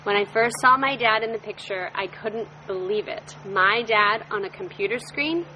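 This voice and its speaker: A woman reading aloud a heartfelt email she wrote, in a measured way, on stage. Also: medium pitch, dull audio, a little hiss or hum. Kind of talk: reading a letter to an audience.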